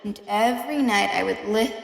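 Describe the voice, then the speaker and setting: A woman's voice: mid-range voice, normal speed, gravelly, close to an everyday voice. A woman playing an unhinged killer who insists on being sane, in an intense, dramatic gothic horror audio play. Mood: sly, secretive. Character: unhinged killer insisting on their own sanity. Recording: echoey room with long reverb.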